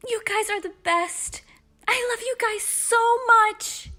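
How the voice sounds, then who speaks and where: Squeaky, very high-pitched schoolgirl. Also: sharp tone, full character voice. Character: sweet, easily flustered teenage schoolgirl in love. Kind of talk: fan audio drama acting.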